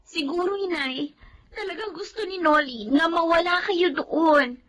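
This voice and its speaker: An eager young daughter, super high bright voice. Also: female voice, a little slow, slight background noise, low-quality recording. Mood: earnest. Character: young daughter, earnest student. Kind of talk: radio drama acting.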